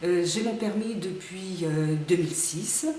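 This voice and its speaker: A woman's voice: on the low side, measured pace, dark tone, slight background noise. A woman in her late sixties, a motorcyclist answering interview questions with many hesitant fillers. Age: 60s. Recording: roomy, distant mic.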